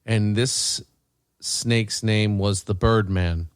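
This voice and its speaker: A man's voice: lowish voice, steady tone, takes it slowly, smooth, no room sound. A man hosting a podcast, chatting and telling stories casually with a dry, joking delivery. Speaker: podcast host. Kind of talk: casual chat and storytelling.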